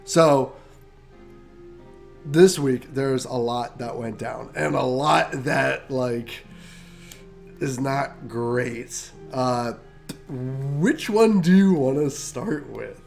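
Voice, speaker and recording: A man's voice: medium pitch, very slow, gravelly, a little mellow, soft background music. A man co-hosting a casual gaming chat, nasal from a cold, joking and rambling. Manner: laid-back, joking, rambling. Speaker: podcast co-host.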